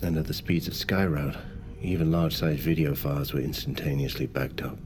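Very deep-voiced, controlled business magnate. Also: male voice, darkish tone. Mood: assured, explanatory. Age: middle-aged. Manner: formal, measured, halting. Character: wealthy, controlled, grave male business magnate. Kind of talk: naturalistic audio drama acting.

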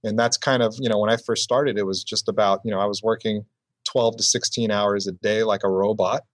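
A man with a slightly low voice answers interview questions in a loose, reflective way, restarting phrases and leaning on "you know." The sound is dry and close, with no room around it. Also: fairly even intonation, a little fast, dry recording. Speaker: entrepreneur guest.

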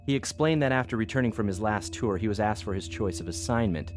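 A man's voice: on the low side, fast, over music, lightly acted. An actor playing an eager, deferential intelligence analyst giving a thorough briefing to his superior in an audio drama. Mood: quietly pleased. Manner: earnest, thorough, formal briefing delivery.

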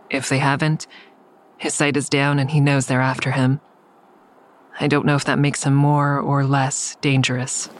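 A guarded, sleepless woman with a low voice. She confides in the listener with understated delivery, as the narrator and lead of an audio drama. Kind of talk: audio drama first-person narration and dialogue.

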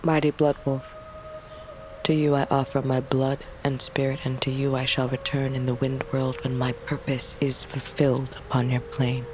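An actress playing a grieving, fierce but lonely young princess of a wolf tribe in a fantasy audio drama, speaking in a solemn, intimate, halting way. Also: female voice, a bass voice, flat delivery, background noise. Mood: solemn prayer. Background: music.

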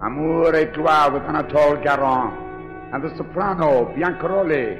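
An actor playing a brooding, obsessed and menacing phantom in 1940s radio style, muttering in slow, repetitive, drawn-out phrases. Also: male voice, fairly high pitch, close to an everyday voice. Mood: brooding menace. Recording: muffled 1940s radio, long reverb, loud music.

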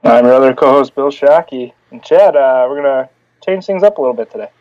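A man co-hosting a casual sports podcast, talking in a relaxed way with some fillers. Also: medium pitch, fast talker, dry recording, lo-fi sound. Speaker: podcast co-host.

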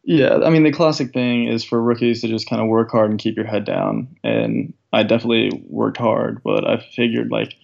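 Deep gravelly young man, reflective. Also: fairly even intonation, normal speed, hardly any pauses, a little mellow. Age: 20s. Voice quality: deep raspy voice. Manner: reflective, thoughtful, many fillers. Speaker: guest, professional soccer player. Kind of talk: casual interview conversation. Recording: dull lo-fi sound.

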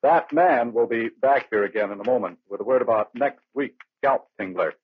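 A man's voice: medium pitch, normal speed, soft background music. A man working as a radio announcer, reading sponsor spots in a 1940s announcing style. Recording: dry, thin phone-like old radio recording.

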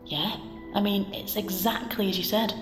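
A woman playing a manipulative, flattering inner voice in an audio drama, coaxing and mock-polite, with a reverberant effect. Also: medium pitch, moderate pace. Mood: mock agreement. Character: manipulative female inner voice, smooth, flattering, dark and sly. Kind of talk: scripted audio drama acting. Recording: echoey reverberant hall, music behind.

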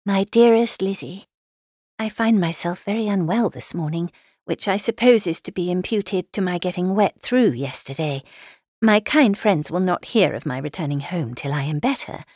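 A woman voicing a gentle, polite young lady with a composed manner, reading a letter in a classic novel. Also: lowish voice, animated, normal speed, husky, no echo, clean studio sound. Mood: mild, reassuring.

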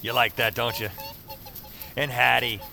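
A man's voice: medium pitch, steady tone, measured pace, creaky, background noise. A man acting a rattled, sardonic everyman who narrates his own weird adventures in an audio drama. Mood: wry, playful. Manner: sardonic, self-talking, exclamatory.

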